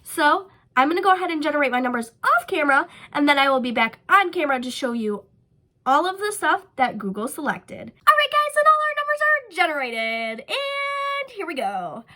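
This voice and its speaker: High bright nasal young woman vlogger. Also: big pitch swings, normal speed. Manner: chatty, upbeat, frequent likes and ums. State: sick with a stuffy nose. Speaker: beauty vlogger. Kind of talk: casual makeup tutorial chat.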